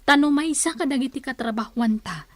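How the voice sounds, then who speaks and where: Actress as a high-pitched gossipy office worker, Ilocano. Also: female voice, brisk, dry recording, close to an everyday voice. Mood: gossipy, concerned. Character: deferential, gossipy female office employee. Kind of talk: Philippine radio drama acting. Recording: music under the voice.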